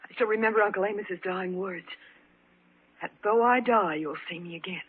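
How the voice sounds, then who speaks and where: A woman's voice: medium pitch, moderate pace, husky, natural voice. An actress playing a frightened, nervous woman in an old-time radio horror play, with breathless, theatrical dread. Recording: muffled old radio recording.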